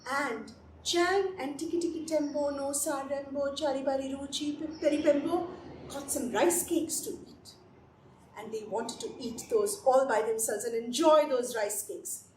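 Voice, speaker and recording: High-voiced woman storyteller in an echoey room. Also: a little slow. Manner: repeats phrases for effect, addresses listeners directly. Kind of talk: animated oral folktale retelling for children. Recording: echoey room with background noise.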